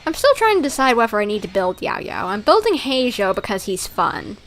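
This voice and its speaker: A woman with a high-pitched voice gives casual commentary on a game livestream. She is chatty and excitable, and her pitch moves around in a very lively way. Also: brisk.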